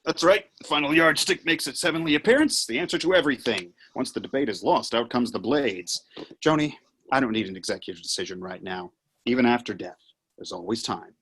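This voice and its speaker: A man playing a sardonic, silver-tongued Satan in a play reading, theatrical and taunting, with a husky voice. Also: mid-range voice, brisk, fairly bright tone. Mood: indignant mockery. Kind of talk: virtual table read of a stage play.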